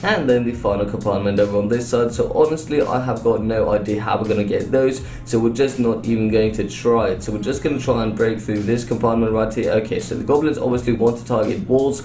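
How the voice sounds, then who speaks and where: A young man chats through his gameplay in a loose, upbeat way, leaning on fillers as he goes. His voice is mellow and a little hoarse. He sits back from the mic in an echoey room, with faint music under him. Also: fairly low pitch, fairly quick, few pauses, far from the mic. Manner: chatty, upbeat, many fillers. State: slightly hoarse. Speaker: gaming YouTuber. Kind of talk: casual gameplay commentary. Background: faint background music.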